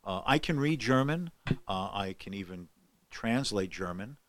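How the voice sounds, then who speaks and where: A man's voice: on the low side, lively intonation, measured pace, a bit bright, dry recording. An older man telling a long story on a podcast, with many fillers and restarts. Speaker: guest scholar. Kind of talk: podcast conversation.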